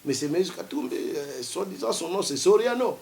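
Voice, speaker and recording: Male TV guest, high and very animated, insistent and repetitive. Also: normal speed, gravelly, slight background noise. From Democratic Republic of the Congo. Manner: insistent, repeats himself to hold the floor. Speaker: studio guest. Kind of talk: heated debate on a TV talk show.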